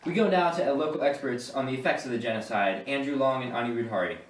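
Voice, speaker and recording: A man's voice: mid-range voice, fast. A young man reading a scripted news report as a student anchor. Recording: echoey room, distant mic.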